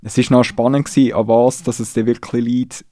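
A Swiss-accented man talks as a guest in a relaxed interview, pausing and restarting as he feels his way through a thought. His voice is mellow and warm, recorded close and dry with no room sound. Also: mid-range voice, dry recording. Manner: thoughtful, searches for words with restarts. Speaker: guest musician. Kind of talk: casual interview conversation.

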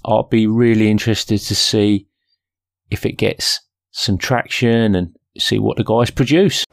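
Guy hosting a podcast, lowish voice, chatty and self-deprecating, very dry close sound. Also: fairly even intonation, measured pace, creaky, no room sound. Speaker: podcast host. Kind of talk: casual solo podcast talk.

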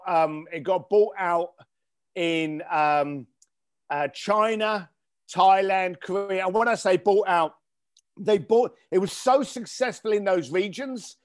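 A man interviewed as a guest, telling an anecdote with quoted exchanges and repeated words for emphasis. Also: high-pitched. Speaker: guest, author and business owner. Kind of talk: casual interview.

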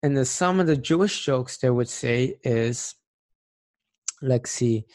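A man's voice: mid-range voice, slow, darkish tone. Man, late twenties, earnest, reflective, plain-spoken, personal monologue, mid-range voice. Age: late 20s. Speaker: speaker sharing personal experience.

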